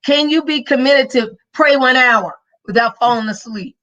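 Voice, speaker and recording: A woman's voice: high voice, normal speed, a bit bright, muffled recording. Emphatic preacher-style woman podcast host. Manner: preachy and emphatic, faith-filled motivational cadence. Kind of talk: live-streamed motivational talk.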